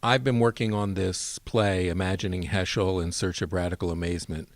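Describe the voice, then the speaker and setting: A theater director gives an explanatory interview. He has a very deep male voice, recorded dry with little room sound. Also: steady tone, brisk, no room sound. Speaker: play director being interviewed.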